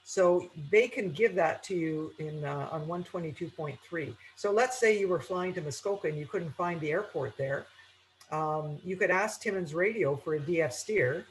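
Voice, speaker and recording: Halting woman, faint noise. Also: on the low side, poor audio. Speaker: aviation instructor. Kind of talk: informal webinar lecture.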